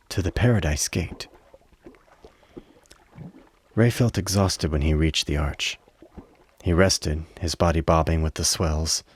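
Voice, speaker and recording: A man narrating a radio play with measured, literary dramatic storytelling. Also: low-pitched, slightly rough.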